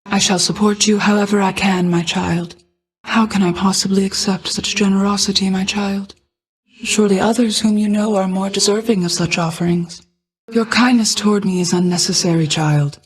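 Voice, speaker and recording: A woman voicing a regal, ageless, motherly goddess of night in a video game, speaking in formal, measured, archaic phrasing. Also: mid-range voice, fairly even intonation, close to an everyday voice, rough recording. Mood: gentle, solemn. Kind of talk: scripted video game voice acting.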